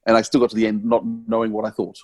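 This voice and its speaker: A man hosting a podcast interview, talking earnestly and haltingly as he searches for words. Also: lowish voice, completely flat delivery, darkish tone, no echo, studio quality, soft background music. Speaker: podcast host.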